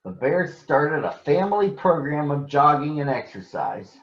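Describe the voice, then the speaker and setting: A man reading a children's story aloud in a deliberate, expressive storytelling manner. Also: on the high side. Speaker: storybook reader for children on a recurring show. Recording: echoey room, slightly dull sound.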